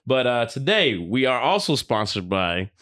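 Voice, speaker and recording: A man co-hosting a podcast, joking casually in animated, profanity-heavy slang. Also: mid-range voice, normal speed. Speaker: podcast co-host.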